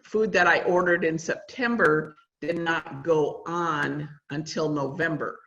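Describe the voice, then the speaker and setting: A woman trainer presenting a school nutrition webinar, with a loud voice that carries and many fillers. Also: deep voice, steady tone, measured pace. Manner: chatty, uses many fillers. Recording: roomy, dull lo-fi sound.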